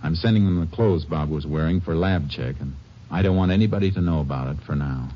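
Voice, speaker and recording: An actor playing a steady Texas Ranger in a 1950s radio drama, speaking calmly and politely with a Texan accent. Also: male voice, very deep voice, a little fast. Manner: calm, polite, plainspoken. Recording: muffled old radio recording with faint hiss.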